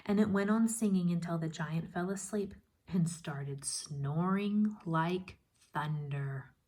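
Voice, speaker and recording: Husky, low-voiced woman reading to children. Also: slow. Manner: expressive, explanatory, asking questions. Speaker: first grade teacher. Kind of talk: children's storybook read-aloud with teaching asides.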